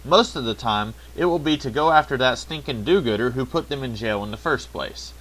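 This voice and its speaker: A man's voice: medium pitch, expressive, fairly quick, fairly bright tone, poor audio. A man narrating a scripted review, with wry, sarcastic asides. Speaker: video reviewer.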